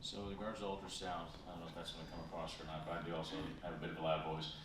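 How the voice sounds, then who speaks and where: Deep-voiced male presenter, fast explanatory answers, distant mic. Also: level tone, fairly bright tone, a little hiss or hum. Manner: quick, talkative, explanatory. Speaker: presenting speaker. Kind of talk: conference Q&A answer. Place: large conference hall. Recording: echoey hall, distant mic.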